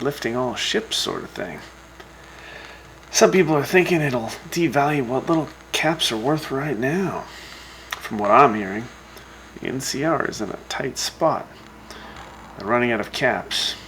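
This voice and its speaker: Guy playing a laid-back wasteland radio host, slow gravelly voice, folksy news chat, faint noise behind. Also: medium pitch, fairly bright tone, low-quality recording. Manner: folksy, unhurried. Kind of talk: casual radio news broadcast.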